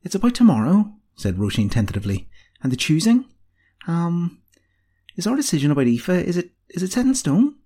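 A man voicing a cheeky, excitable nineteen-year-old female apprentice with a pert, eager, girlish manner. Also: medium pitch, moderate pace, gravelly, husky, heavily acted. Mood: tentative. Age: young adult.

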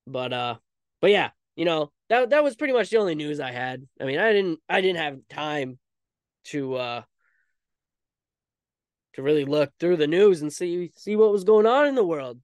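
A man hosts a casual solo podcast in a smooth, fairly high voice. He talks informally and rambles, often putting himself down lightly. The sound is dry and close, with very little room in it. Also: lively intonation, moderate pace, dry recording. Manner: rambling, self-deprecating, informal. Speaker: podcast host.

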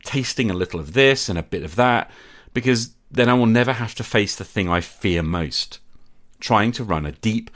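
Deep, expressive middle-aged male podcast host. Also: moderate pace. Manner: reflective and candid, with wry self-deprecating humour. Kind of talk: solo podcast monologue.

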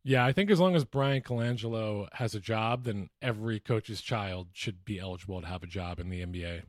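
A man's voice: fairly low pitch, a little fast, no room sound, clean studio sound. A man co-hosting a comedic sports podcast in casual chat, with a mocking, profane streak. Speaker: podcast host.

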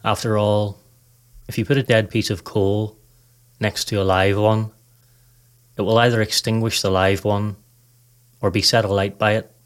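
A man narrating a scripted guided meditation in a calm, measured, instructional way. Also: fairly low pitch, clear, resonant tone. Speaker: podcast host, meditation guide.

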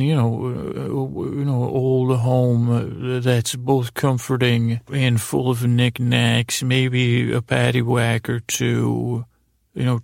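A middle-aged man with a gravelly, creaky voice hosting a bedtime podcast, meandering and hesitant in a goofy way, often trailing off and restarting. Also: mid-range voice, no echo, studio quality. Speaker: podcast host. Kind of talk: rambling bedtime sleep podcast monologue.

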